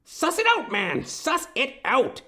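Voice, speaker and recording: An actor playing a melodramatic, lovesick older timber tycoon with a pompous, theatrical way of talking in a comedic detective audio play. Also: male voice, very high-pitched, sing-song, slow, sharp tone, exaggerated voice. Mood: frantic, fearful. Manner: florid, theatrical, pompous storytelling.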